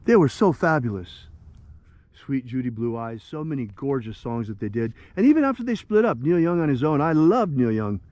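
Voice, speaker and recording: A man's voice: mid-range voice, big pitch swings, moderate pace, a little mellow, dry recording, muffled recording. A man in his sixties preaching with passion and leading a ritual prayer, rising to indignant outbursts. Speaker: ritual leader.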